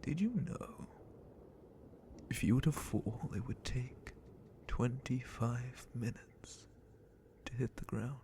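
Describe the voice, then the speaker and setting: A man playing a sadistic, haughty dragon captain of the guard in an ASMR roleplay, speaking with menacing contempt in a breathy, gravelly whisper. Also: mid-range voice, warm tone. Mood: menacing, probing. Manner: menacing, contemptuous, drawn-out theatrical delivery.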